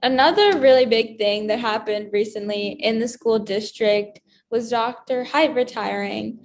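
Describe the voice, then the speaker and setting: High-voiced American teenage girl co-hosting. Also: measured pace. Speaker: student podcast co-host. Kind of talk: casual podcast chat.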